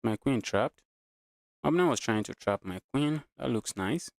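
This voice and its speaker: A Nigerian man with a mid-pitched voice streams casual game commentary. He thinks aloud and often repeats his phrases as he talks to viewers. The sound is dry, with no room echo. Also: expressive, normal speed, creaky. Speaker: chess streamer.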